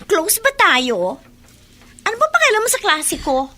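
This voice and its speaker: A woman's voice: extremely high pitch, very animated, measured pace, pushed and bright, full character voice. A woman with a Filipino accent playing a snobbish, haughty rich schoolgirl in a radio drama, sneering and sarcastic. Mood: scornful.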